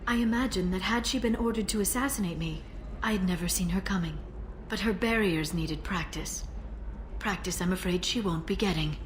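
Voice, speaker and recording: An actress playing a composed, determined female information broker in a sci-fi video game, measured and earnest. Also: medium pitch, close to an everyday voice. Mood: quietly resolute. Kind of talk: scripted video game dialogue. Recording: noisy ambient background.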